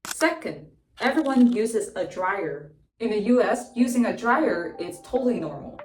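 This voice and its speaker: A woman's voice: mid-range voice, very animated, normal speed, slight background noise. Woman from China, vlog narration. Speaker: vlogger. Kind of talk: scripted personal vlog narration. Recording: roomy echo from a distance, faint music.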